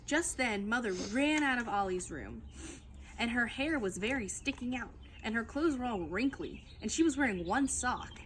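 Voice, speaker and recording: A woman reading a children's book aloud as a storytime reader, in an expressive, emphatic storytelling manner. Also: on the high side, moderate pace, a little hiss or hum.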